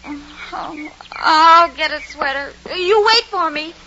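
A woman's voice: high-pitched, slow. An actress playing a fussy, fearful, cautious woman, fretful and scolding, in an old radio drama. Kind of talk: 1940s-50s radio drama acting. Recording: muffled, noisy old radio recording.

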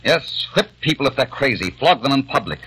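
A man's voice: mid-range voice, big pitch swings, brisk, a bit bright, slight background noise, poor audio. A man in a 1940s radio drama playing a modern man stranded in eighteenth-century London, given to impassioned, bitter outbursts. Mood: furious.

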